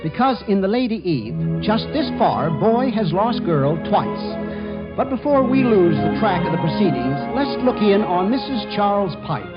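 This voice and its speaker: Male vintage radio narrator, wry and theatrical, very high register, muffled broadcast with loud music behind. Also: sing-song, measured pace. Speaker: host and narrator. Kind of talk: scripted 1940s radio narration.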